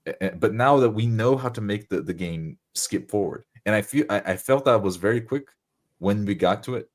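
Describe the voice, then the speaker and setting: Low-voiced man, eager casual talker. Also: moderate pace. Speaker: tabletop game player. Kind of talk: casual chat.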